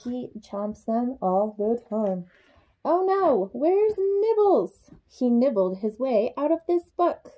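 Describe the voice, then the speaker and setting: A young adult woman reading a children's picture book aloud in an expressive way, speaking to young children. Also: fairly high pitch, slow pace, highs cut off. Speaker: childcare supervisor reading a bedtime story.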